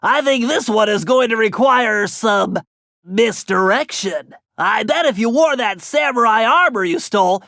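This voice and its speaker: Game gadget expert: very high, forceful, nasal, bookish and eager, precise planner, dry close recording. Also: male voice, animated, measured pace, no room sound, exaggerated voice. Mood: precise, briefing. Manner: precise, wordy, bookish briefing. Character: nerdy male brainy gadget expert of a thief gang, eager planner. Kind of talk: animated video game character voice acting.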